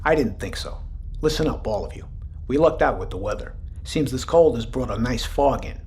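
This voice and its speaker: A man's voice: mid-range voice, lively intonation, moderate pace, darkish tone, a little hiss or hum, lightly acted. A man playing a stern naval commanding officer with dry sarcasm in an audio drama.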